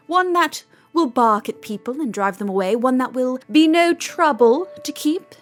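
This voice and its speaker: A woman's voice: sits high, animated, moderate pace, faint music behind. A woman playing a self-assured, crafty wizard in an audio drama, with theatrical, emphatic delivery. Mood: demanding. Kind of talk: audio drama character acting.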